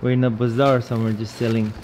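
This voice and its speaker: A man's voice: mid-range voice, flat delivery, fast talker, background noise. A male musician hosting his vlog in casual chat, friendly and laid-back, filmed on a handheld camera.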